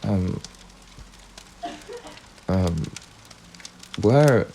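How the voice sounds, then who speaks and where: An actor playing a frightened, exhausted male traveller in an audio drama, speaking in a halting, apologetic stammer. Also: low voice, lively intonation, mellow, slight background noise, full character voice. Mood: shaken, halting. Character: frightened exhausted male traveller seeking shelter, polite and shaken.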